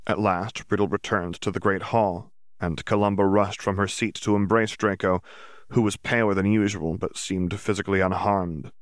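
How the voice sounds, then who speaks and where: A man reading a fan fiction audiobook aloud in an even, clear storytelling voice. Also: low voice, normal speed, dry recording, clean studio sound. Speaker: audiobook narrator.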